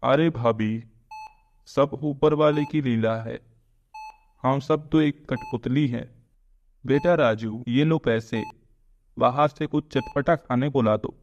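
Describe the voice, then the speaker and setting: A man plays a humble, pious village priest from a cartoon moral story. The voice is mid-pitched and kindly, in a dull recording with faint noise. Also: slow, long pauses, a little mellow. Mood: kindly, philosophical. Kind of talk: Hindi cartoon story voice acting.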